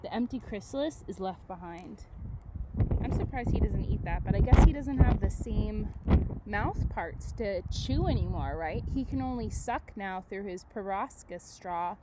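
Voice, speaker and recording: A woman teacher reading aloud to children, with expressive delivery and casual, chatty asides that put questions to her listeners. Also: medium pitch, unhurried, heavy background noise. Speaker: elementary school teacher.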